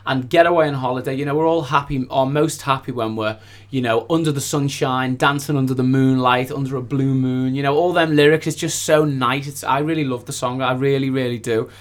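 A man's voice: mid-range voice, fairly even intonation, a little fast, hardly any pauses. A man speaking as a singer-songwriter guest in a casual interview, effusive and rambling, often repeating his phrases.

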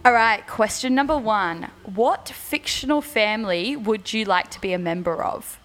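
A woman with a medium-pitched voice co-hosts a casual podcast. She comes across as enthusiastic and agreeable in easy chat. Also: lively intonation, normal speed, a bit bright, soft background music. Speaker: podcast co-host.

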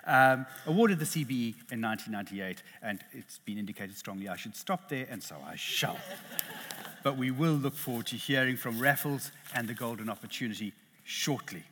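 A man's voice: medium pitch, animated, moderate pace, fairly bright tone, a little hiss or hum. A middle-aged South African man chairing a literary panel, self-deprecating and hesitant, with many restarts. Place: lecture hall. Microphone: headset. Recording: reverberant hall.

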